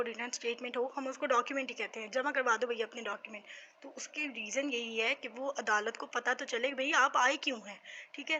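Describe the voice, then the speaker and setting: A woman's voice: sits high, sharp tone, a little hiss or hum. A woman with a Pakistani accent giving an informal explanatory lecture as a teacher, conversationally asking questions and answering them herself. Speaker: law teacher.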